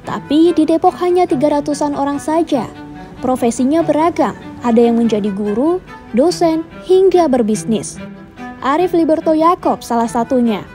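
A woman giving scripted, measured documentary narration as a voice-over. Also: high-pitched, warm tone. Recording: voice-over with background music.

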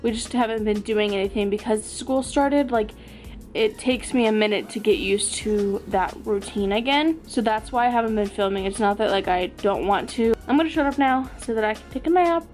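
A young woman talks casually and a little sleepily to a phone held close, her voice sitting a touch high. She sounds tired as she narrates her day from the car and the couch. Clear music runs behind her. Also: moderate pace, a little mellow. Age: young adult. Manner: chatty and sleepy, talking to a handheld camera. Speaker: vlogger. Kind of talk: casual vlog chat. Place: car and home, in and out of doors. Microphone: phone or camera held close.

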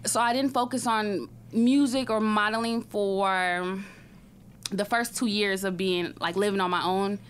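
A young woman from Wisconsin, a recording artist, chatting casually as a studio interview guest with a relaxed, matter-of-fact manner. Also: medium pitch, a little slow, clear, resonant tone. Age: young adult. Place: podcast studio with a large window. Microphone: studio boom mic, headphones.

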